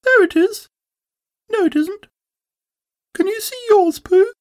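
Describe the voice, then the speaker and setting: A storybook reader voicing a small, eager, excitable young kangaroo boy in a very high voice. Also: slow pace, no echo, clean studio sound. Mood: eager, excited. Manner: eager, breathless repetition. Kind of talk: storybook character voice.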